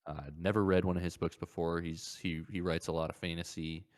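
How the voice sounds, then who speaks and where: A man's voice: low voice, level tone, fairly quick, darkish tone. A man co-hosting a podcast, talking in an informal, conversational way. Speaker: podcast co-host. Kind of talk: casual chat.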